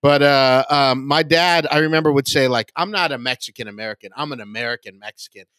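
A man's voice: medium pitch, fast, fairly bright tone, clean tone, no echo. A man, a comedian guesting on a podcast, chatting casually about politics and repeating words as he searches for the next thought.

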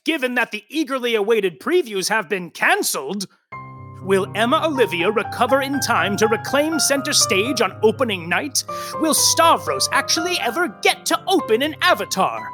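An arch male soap-opera announcer in a comic audio drama. The delivery is highly animated and bright, over light music. It is theatrical and mock-grand, treating every line as a gushing flourish. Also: exaggerated voice. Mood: mock suspense. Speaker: narrator. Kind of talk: scripted comic narration and credits.

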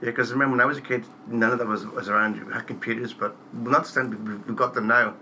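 A man's voice: mid-range voice, steady tone, fast talker, pushed and bright, rough recording. A man interviewing in a casual, chatty conversational way. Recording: faint background noise.

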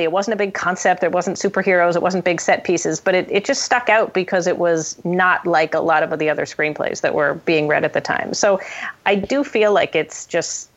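A woman speaking as a guest screenwriter in a casual interview, thinking aloud with frequent restarts. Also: lowish voice. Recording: dull, lo-fi audio.

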